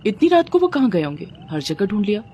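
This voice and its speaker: A woman's voice: medium pitch, very animated, fast, warm tone, a little hiss or hum, close to an everyday voice. A woman voicing a suspicious young wife, anxious but determined, in a storybook tale. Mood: worried.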